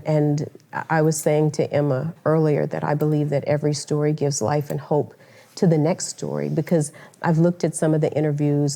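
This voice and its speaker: Deep, mellow woman interviewer. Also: creaky. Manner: affirming, encouraging, quotes scripture.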